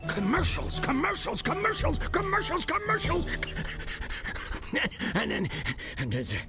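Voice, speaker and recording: A man's voice: very high voice, huge pitch swings, a little slow, creaky, over music, heavily acted. A man playing a greedy, theatrical alien media tycoon villain in a cartoonish video game. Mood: gleeful greed. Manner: theatrical showman, gloating and wheedling.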